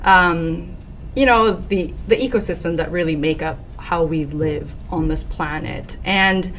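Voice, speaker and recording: A young woman from Japan speaks as an interview guest in a friendly, chatty way, with a few fillers. Her voice sits a little low for a woman. She is recorded on a desk mic in an office room, with a faint hiss behind her. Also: a little slow, a bit bright. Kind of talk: casual interview talk. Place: office meeting room, indoors. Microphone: desk microphone.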